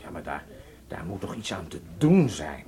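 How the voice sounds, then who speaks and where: A genial German racing enthusiast in a radio play. His pitch moves widely as he talks, and he sounds affable and probing, feeling his way through his phrases. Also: big pitch swings, moderate pace, dark tone. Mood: curious, concerned. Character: genial, scheming German horseman posing as wealthy racing enthusiast. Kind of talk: Dutch radio drama acting, conversational.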